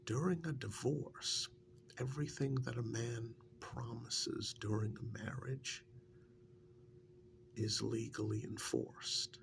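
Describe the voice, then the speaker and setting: A man softly playing an embittered yet devoted former captive in an ASMR role-play, half-whispering in a rambling, confiding way. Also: fairly even intonation, takes it slowly. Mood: bitter, aggrieved. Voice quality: soft, breathy, often half-whispered. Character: embittered former kidnap victim, now devoted male partner. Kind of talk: soft-spoken ASMR role-play monologue. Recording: faint low rumbling background noise.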